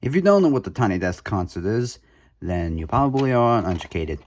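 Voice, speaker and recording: A man's voice: on the low side, very animated, a little fast. A man casually talking through his cassette collection in a video, offhand and sarcastic, with dry mumbled asides. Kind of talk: casual show-and-tell vlog.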